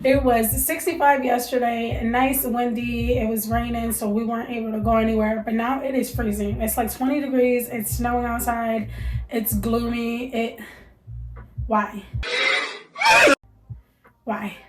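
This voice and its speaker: A woman's voice: fairly high pitch, little pitch movement, measured pace, darkish tone, reverberant, soft background music, low-quality recording. A young woman, a mother, talking candidly to camera in a casual chat, sounding stuffy and congested from allergies, with lots of fillers. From United States. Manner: chatty, candid, many fillers. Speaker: vlogger, mother.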